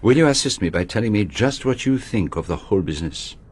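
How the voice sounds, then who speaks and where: An actor in a radio drama playing a courteous, shrewd Belgian detective with a French-speaking accent and formal, precise phrasing. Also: male voice, lowish voice, lively intonation, quick pace, darkish tone. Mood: probing, courteous. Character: shrewd, courteous foreign male private detective, probing and measured.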